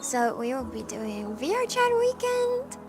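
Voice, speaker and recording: A woman streamer chatting casually with her live chat, with a hoarse voice and a playful cat persona. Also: high voice, big pitch swings, a little slow. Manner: chatty and playful, often clearing her throat. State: hoarse from allergies. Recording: music playing in the background.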